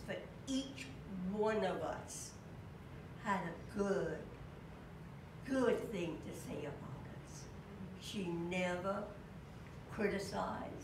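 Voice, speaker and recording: An older woman giving a memorial tribute to a classmate from a church pulpit, storytelling with chuckles. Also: medium pitch. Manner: slow, warm storytelling with chuckles. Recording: echoey church, distant mic, room noise.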